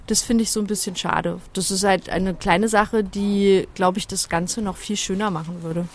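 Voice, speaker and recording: A woman from Germany, answering interview questions in a relaxed, friendly, slightly hedging way. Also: medium pitch, moderate pace.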